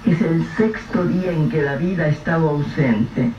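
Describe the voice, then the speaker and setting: A man with a Rioplatense accent narrating a radio drama in a measured, vivid storytelling manner. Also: fairly high pitch, a little mellow, faint music behind. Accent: Rioplatense Spanish. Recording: echoey, distant, dull, faint hiss.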